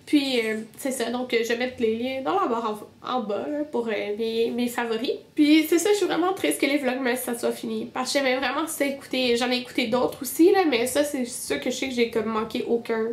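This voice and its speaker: A woman's voice: on the high side, a little fast, hardly any pauses, echoey room. A young woman with a Québécois French accent, stuffed up with a cold, chatting casually about her favorite beauty products. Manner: chatty, many fillers and restarts. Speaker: beauty vlogger. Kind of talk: casual monthly favorites video.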